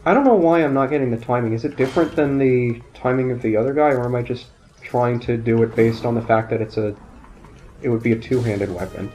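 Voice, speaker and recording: A man calmly commentating his gameplay, thinking aloud, his voice nasal and raspy from a sinus infection. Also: medium pitch, a little fast. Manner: calm, explanatory, thinking aloud. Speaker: let's play commentator. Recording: dull lo-fi audio, faint game music.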